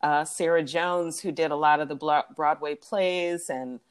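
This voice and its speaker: A woman's voice: low-pitched, steady tone, unhurried, clean tone. A woman interviewing a poet in a casual conversation. She is affirming and chatty, and she asks long, winding questions.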